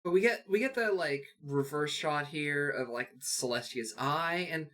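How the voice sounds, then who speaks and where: Woman, co-host, low pitch, casual chat, blunt, sweary, mock-exasperated. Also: unhurried, fairly bright tone. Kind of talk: casual comic commentary chat.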